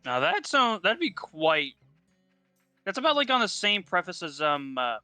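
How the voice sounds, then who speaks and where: Bright-voiced laughing young man. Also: sits high, sing-song, unhurried, gravelly, no room sound, soft background music. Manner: laughs often, rambling with restarts. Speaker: co-host, friend on the stream. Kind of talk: casual gaming chat.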